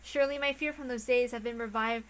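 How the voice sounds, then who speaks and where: A woman's voice: fairly high pitch, fairly even intonation, fast, close to an everyday voice. A woman voicing a tense, anxious and secretive mother, hesitant and self-questioning, in a visual novel read aloud. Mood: uneasy. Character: anxious, secretive adult mother, quick to scold.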